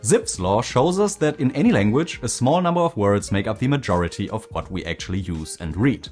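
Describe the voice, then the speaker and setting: Lively man narrating a scripted explainer. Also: fairly low pitch, a little fast, soft background music. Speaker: language learning video creator.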